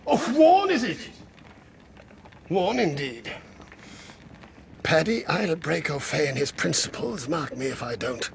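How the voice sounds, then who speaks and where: A high-pitched, crafty, creaky moneylender. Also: male voice, big pitch swings, slow, pauses often, a little hiss or hum, exaggerated voice. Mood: mocking. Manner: mocking, theatrical, emphatic. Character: cunning, menacing male moneylender and coachmaker, oily when cornered. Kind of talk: period radio drama acting.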